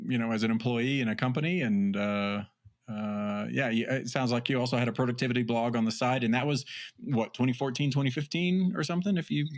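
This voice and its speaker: A man's voice: medium pitch, fairly quick. A man hosting a podcast, easygoing and affirming, with frequent hesitations. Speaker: podcast host. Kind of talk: casual podcast interview.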